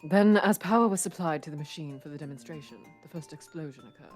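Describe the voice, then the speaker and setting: A woman voicing an official who lays out the facts in a loose put-on Spanish-Italian accent, measured and explanatory. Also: sits low, faint music behind, close to an everyday voice. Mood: explaining.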